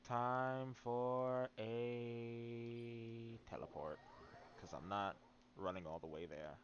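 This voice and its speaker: A man's voice: mid-range voice, fairly even intonation, slow pace, dull audio. A man doing casual, rambling and playful live-stream commentary while he plays a video game. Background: faint game music.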